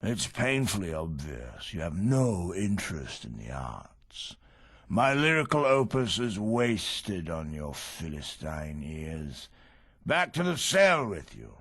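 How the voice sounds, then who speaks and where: An actor playing a vain, pompous prison warden in a video game, declaiming theatrically like an amateur poet. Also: male voice, deep voice, huge pitch swings, slow, fairly bright tone, breathy edge, exaggerated voice. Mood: disdainful.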